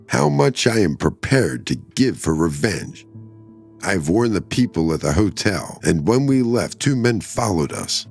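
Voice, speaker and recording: A man's voice: fairly low pitch, a little mellow. A man voicing a bitter, vengeful wife driven mad by hatred in a dramatic story reading, hard and quavering.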